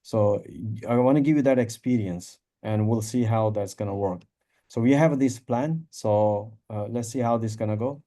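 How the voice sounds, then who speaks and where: A man's voice: fairly low pitch, normal speed, mellow. A man hosting a live forex trading webinar over a video call, talking with lots of fillers and encouraging attendees.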